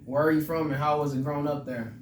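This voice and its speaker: Young american guy hosting a podcast chat, kinda high voice, slangy and cursing, mic sounds far off. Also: steady tone, quick pace. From United States, born in California, raised in Arizona. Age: 20s. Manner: slangy, heavy cursing, often repeats phrases. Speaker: podcast host. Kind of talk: casual chat interview. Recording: roomy, distant mic, clear background noise.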